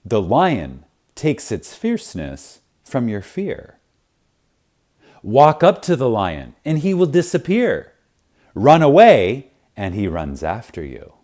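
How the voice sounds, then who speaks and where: A man narrating a spiritual audiobook in a reverent, emphatic manner with dramatic pauses. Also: medium pitch, huge pitch swings, a little mellow. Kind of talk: scripted audiobook reading of spiritual teaching.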